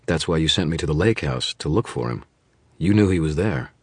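A man voicing a weary private eye who questions quietly and bluntly. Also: a bass voice, lively intonation, fairly quick, slightly rough, no echo, exaggerated voice. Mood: pressing. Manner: quiet and clipped.

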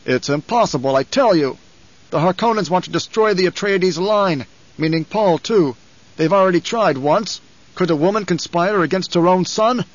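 A man's voice: high-pitched, normal speed, lo-fi sound, pitched above the performer's own voice. A man voicing a proud, stern and weary nobleman in an audiobook, with an authoritative, clipped delivery. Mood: angry, defiant.

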